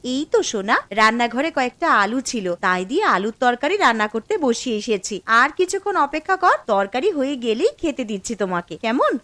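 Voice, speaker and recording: A busy cartoon housewife, played by a woman, practical and quick to scold. Her high voice swings lively in pitch. The sound is dry and close. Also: dry recording. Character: busy young housewife and mother, nagging but caring. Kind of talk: cartoon voice acting.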